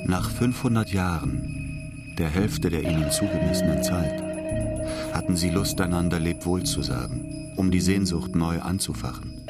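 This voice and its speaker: Deep male radio drama narrator. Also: a little slow. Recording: music behind the voice.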